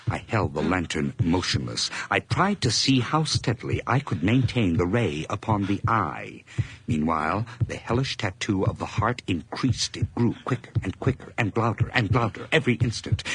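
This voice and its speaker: An obsessive murderer narrating a radio play in a very deep, gravelly voice. His pitch swings widely as he moves between whispers and feverish outbursts. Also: very animated, normal speed, a bit bright, full character voice, low-quality recording. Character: nervous, obsessive man confessing a murder.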